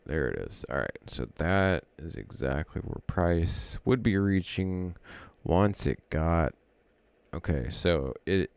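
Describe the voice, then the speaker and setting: A man's voice: low-pitched, creaky, a little mellow, clean tone, dry recording. A man casually thinking aloud as he walks through trading charts, rambling and correcting himself as he goes. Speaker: trading educator.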